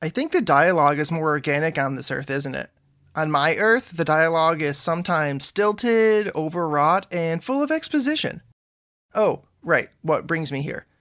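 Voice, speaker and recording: A man's voice: fairly high pitch, lively intonation, moderate pace, fairly bright tone, no echo, clean studio sound. A man playing an earnest, awkward young superhero sidekick who politely rambles through explanations in an audio drama. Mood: earnest, rambling. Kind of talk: scripted audio drama dialogue.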